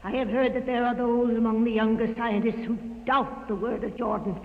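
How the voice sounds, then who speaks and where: An actress playing a wary, devout doorkeeper who questions visitors in curt, formal fashion in a 1950s radio drama. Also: female voice, a little high, steady tone, moderate pace, lightly acted. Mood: suspicious. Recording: muffled, echoey old radio recording.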